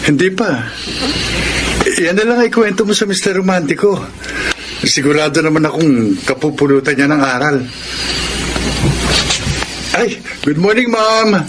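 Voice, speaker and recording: A man's voice: fairly high pitch, lively intonation, unhurried, pauses often. An actor playing a humble, remorseful single father working in a shop, in a Filipino radio drama. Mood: friendly, eager. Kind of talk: Tagalog radio drama acting. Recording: noisy ambience behind the voice.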